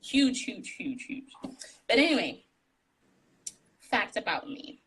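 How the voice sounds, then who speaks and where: A woman's voice: medium pitch, sing-song, takes it slowly, fairly bright tone, slightly rough, rough recording. A woman guest speaking candidly in a casual interview, laughing often, with what she calls a tiny voice, on a live video call. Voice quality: describes her own voice as tiny. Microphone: phone on a live video call.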